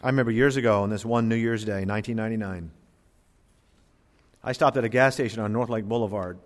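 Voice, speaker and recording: A middle-aged male pastor preaching a sermon in a church, moving between loud emphatic bursts and quiet, low asides. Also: quick pace. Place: church sanctuary. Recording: church hall reverb.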